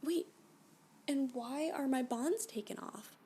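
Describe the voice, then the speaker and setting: A woman playing a flirty, clingy alien abductee in an ASMR roleplay, gushing and halting, often dropping to a whisper. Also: high voice, warm tone. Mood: pleading, alarmed. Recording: background noise.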